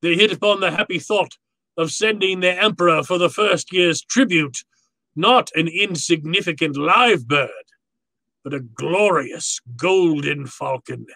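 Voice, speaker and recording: An actor playing a courtly, gloating villain in a 1940s-style radio drama, with a florid, pompous, theatrical delivery. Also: male voice, a little high, pushed and bright, no room sound, exaggerated voice. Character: courtly, gloating, verbose male villain, obsessive collector.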